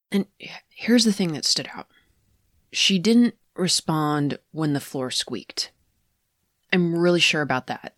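An actress playing a rattled, analytical woman investigator who haltingly recounts eerie sightings in a confessional horror audio drama. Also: low-pitched, no room sound, clean studio sound. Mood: puzzled, insistent. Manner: halting, hesitant, self-correcting.

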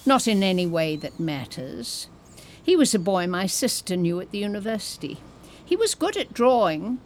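A woman's voice: mid-range voice, big pitch swings, normal speed, natural voice. Blunt, cantankerous old woman played by an actress, noisy background. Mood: bawdy, reminiscing. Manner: blunt, wry, grumbling. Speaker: actor in an audio drama. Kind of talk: naturalistic comedic audio drama acting.

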